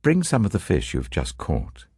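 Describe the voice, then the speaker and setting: A British man voicing Jesus as a calm, authoritative and gentle teacher in a Bible reading, slow, solemn and tender. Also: low voice, husky, dry recording, studio quality, pitched above the performer's own voice, heavily acted. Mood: calm, inviting.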